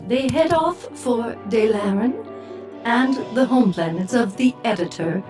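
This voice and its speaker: A woman acting a cold, commanding figure in an audio drama, speaking deliberately. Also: a little high, animated, darkish tone, soft background music.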